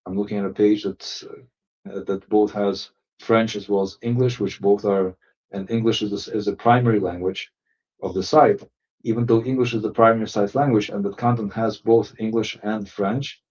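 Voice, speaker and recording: Halting man on a muffled webinar call. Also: on the low side, fairly even intonation, darkish tone. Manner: halting, many fillers and restarts. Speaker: webinar presenter. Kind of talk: explaining software settings in a webinar. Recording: distant, muffled lo-fi audio.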